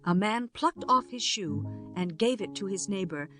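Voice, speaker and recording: A woman narrating a scripture audiobook in a measured, formal way. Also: mid-range voice, animated, over music.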